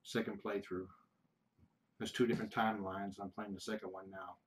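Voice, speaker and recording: Halting middle-aged man chatting casually. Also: on the low side, level tone. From United States. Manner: rambling, halting, with frequent restarts and fillers. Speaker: kitten foster livestreamer. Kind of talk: casual livestream Q&A, reading out viewer questions.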